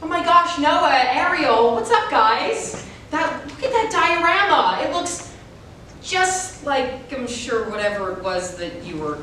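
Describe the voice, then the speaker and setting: Woman acting gossipy school staffer, campy and gushing, highish voice, live stage, distant reverberant recording. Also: big pitch swings, slow, full character voice. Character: gossipy, chatty school coworker greeting children sweetly. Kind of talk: live reader's theater performance. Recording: echoey hall, distant mic, faint rumble.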